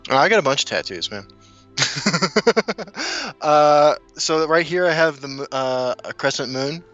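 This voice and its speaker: A man's voice: on the high side, unhurried, lo-fi sound, soft background music. A man from South Dakota who grew up in Kansas City, chatting casually as a podcast guest and telling rambling stories with easy laughs. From South Dakota, raised in Kansas City. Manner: relaxed, rambling storyteller, laughs often. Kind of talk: casual chat.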